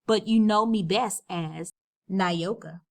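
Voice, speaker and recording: A woman voicing a benevolent shape-shifting snake king in a storybook read-aloud, calm and stately. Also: medium pitch, slow pace. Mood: calm, tender.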